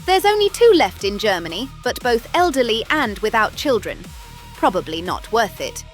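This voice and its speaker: A woman voicing a vengeful villainess in an animated series, excitable and coldly menacing as she leads her sisters. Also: on the high side, moderate pace, faint music behind. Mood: scheming, menacing. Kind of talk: animated web series dialogue.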